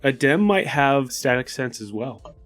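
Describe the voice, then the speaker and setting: A man's voice: medium pitch, expressive, unhurried, a bit bright. A man playing a tabletop game, explaining ideas for the story casually as he thinks them through. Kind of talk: casual collaborative worldbuilding talk. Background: music.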